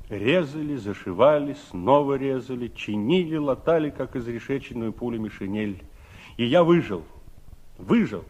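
Hear an ageing veteran of the revolution in a 1960s Soviet radio play. His medium-pitched voice moves expressively, with wistful reflection, theatrical emphasis and an uncle's kindness. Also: darkish tone.

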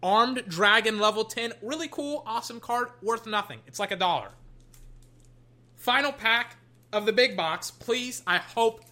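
A young adult, slightly hoarse, chatting casually while opening card packs at a desk, swinging between loud hype and low muttering and swearing freely. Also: a little slow. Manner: swears casually, trails off and restarts. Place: at a desk indoors.

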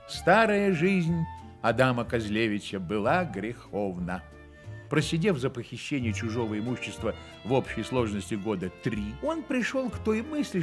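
An actor playing a wry storyteller, narrating a satirical novel for a radio play in a measured literary manner. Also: male voice, medium pitch, lightly acted.

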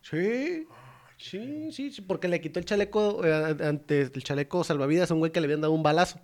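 A man's voice: fairly high pitch, fairly quick, darkish tone. A young Mexican man recapping a film in casual, profane, mocking banter, acting out characters' lines. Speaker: podcast co-host. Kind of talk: casual comedic movie recap.

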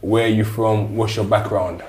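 A low-pitched man with a London accent hosts a casual interview. He asks rambling, conversational questions in a relaxed tone. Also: moderate pace. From South London. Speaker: interview host.

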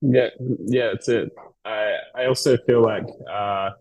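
A man's voice: lowish voice, fairly even intonation, a little slow, darkish tone, no room sound, poor audio. A man in his thirties with an Australian accent, chatting easily as a guest and laughing often. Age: 30s. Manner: easygoing, laughs often, restarts his sentences. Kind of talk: casual chat.

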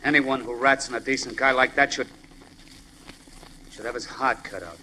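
An actor playing a blunt, defensive, rough-spoken American ex-convict in a 1940s radio drama. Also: male voice, medium pitch, fairly even intonation, normal speed, pushed and bright. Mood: earnest, bitter. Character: touchy, earnest male ex-convict, rough-spoken and slangy. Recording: noisy old radio broadcast recording.

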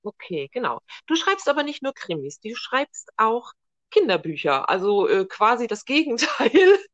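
Lively high-voiced woman hosting an interview. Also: normal speed, no room sound, lo-fi sound. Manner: friendly, hesitant with many fillers. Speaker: podcast host. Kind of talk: casual interview.

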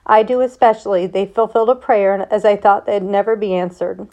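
A woman voicing a gentle farm mother in a storybook, consoling in a soothing, patient way. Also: medium pitch, fairly even intonation, a little fast, close to an everyday voice, low-quality recording. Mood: gentle, sorrowful.

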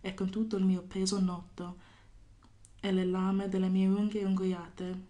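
A woman poet from California, a guest on a podcast, talking thoughtfully with many pauses and fillers and reading her poem. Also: medium pitch, level tone, mellow. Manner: thoughtful, hesitant with many fillers, laughs often. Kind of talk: podcast interview with poetry reading.

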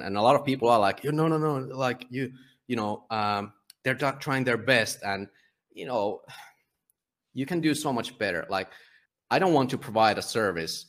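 A Finnish man, a publisher and guest, talking casually in a conversational interview, often halting and restarting his sentences. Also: fairly low pitch, fairly bright tone. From Finland. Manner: halting, many restarts and fillers.

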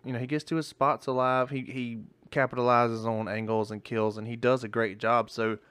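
A man's voice: mid-range voice, flat delivery, normal speed, fairly bright tone, smooth, no room sound. A male podcast co-host in casual chat, rambling and swearing, often restarting his sentences, with hiccups.

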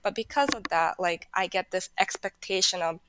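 A woman's voice: lowish voice, lively intonation, moderate pace, background noise. A young woman whose first language is Japanese, talking in a rambling, hesitant way as a podcast guest. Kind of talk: casual chat.

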